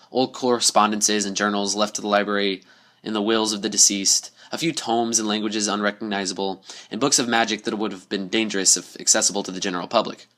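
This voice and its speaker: Bright-voiced man reading a dramatic story. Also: fairly low pitch. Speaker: story reader. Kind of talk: dramatic scripted narration of a horror fan story.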